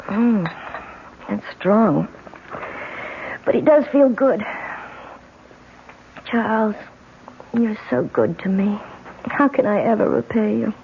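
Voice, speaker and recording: A woman's voice: mid-range voice, expressive, takes it slowly, creaky, pauses often. A woman acting a haunted, anxious wife in a 1970s radio drama, speaking in a fretful, dramatic way. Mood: weary, uneasy. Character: haunted, anxious wife seeing a headless ghost. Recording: dull, faintly noisy old radio broadcast.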